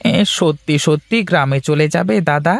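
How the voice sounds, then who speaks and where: A man's voice: a little high, normal speed, gravelly. A man voicing a respectful, persuasive younger friend in a cartoon story, explaining things in a coaxing way. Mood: tentative. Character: deferential, persuasive male friend in town with business ideas.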